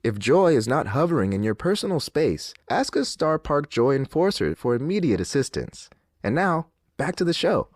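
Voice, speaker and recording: A man's voice: fairly high pitch, sing-song, moderate pace, dry recording, studio quality. A man playing an upbeat, faintly creepy theme-park announcer in a game, polished and insistently friendly in a scripted public-service message.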